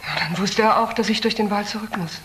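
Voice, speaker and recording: A woman's voice: mid-range voice, fairly even intonation, brisk, fairly bright tone, lightly acted. Cool, blunt woman doctor, played by an actress. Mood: cool, challenging. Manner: cool, matter-of-fact, challenging. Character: cool, blunt, self-assured female country doctor. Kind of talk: German crime radio drama acting. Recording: roomy audio drama, faint noise.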